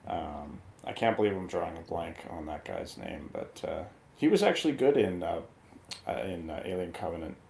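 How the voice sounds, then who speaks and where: In an echoey, slightly noisy room, a man chats casually as a panelist. His voice sits fairly low and has a lot of creak in it. The talk is loose, conversational film review. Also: very animated, moderate pace, darkish tone. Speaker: show panelist. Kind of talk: casual movie review chat.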